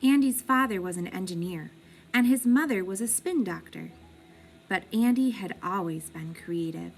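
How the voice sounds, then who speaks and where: A woman reading a children's picture book aloud in an expressive, deliberate way, like a teacher with young pupils. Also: medium pitch, creaky, soft background music.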